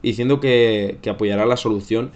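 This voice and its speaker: A man's voice: fairly low pitch, normal speed, darkish tone, lo-fi sound. A man with an accent from Spain hosts a livestream, commenting casually on current affairs and often repeating and rephrasing himself. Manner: conversational, repeats and rephrases phrases. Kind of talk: casual live news commentary.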